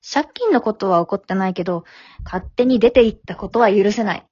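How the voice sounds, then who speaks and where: A woman acting in an amateur radio drama as a frank, matter-of-fact teenage daughter who chides gently. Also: mid-range voice, moderate pace, husky, no echo, highs cut off, lightly acted. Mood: firm, supportive. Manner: matter-of-fact, teasing, plain-spoken. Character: frank, forgiving teenage high-school daughter, gently chiding.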